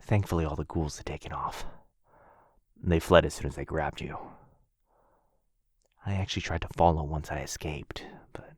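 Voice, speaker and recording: A man playing a gentle but brave immortal lover in a fantasy audio roleplay, tender and hushed with his beloved, impassioned when defiant. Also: extremely low pitch, fairly even intonation, normal speed, long pauses, breathy edge, no echo, studio quality, exaggerated voice. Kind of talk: boyfriend ASMR fantasy roleplay acting. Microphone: close, dry studio-style mic.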